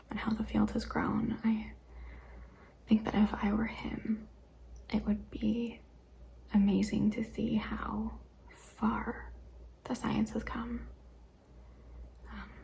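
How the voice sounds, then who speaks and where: A young woman whispers through a get-to-know-me questionnaire for an ASMR channel, her voice mellow and dark with a gravelly edge. She talks in a flat, even line, pausing on ums and small laughs. A faint hiss sits under the recording. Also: mid-range voice, poor audio. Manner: mostly whispered, hesitant with frequent ums and soft laughs. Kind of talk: soft-spoken ASMR questionnaire.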